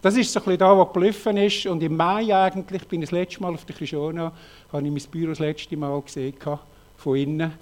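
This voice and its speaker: A man in his late fifties with a Swiss German accent, frankly telling his own story in a talk, halting often with fillers. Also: on the high side, fairly even intonation, a little mellow. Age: 50s. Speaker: speaker giving a personal testimony. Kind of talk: personal testimony talk with slides.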